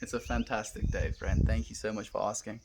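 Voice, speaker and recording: A young South African man chatting on a live stream outdoors, earnest and gentle, calling his viewers friend. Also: fairly low pitch, level tone, brisk, gravelly, background noise. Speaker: livestreamer. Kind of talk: casual live stream chat reading comments. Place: outdoors, wind and cows nearby.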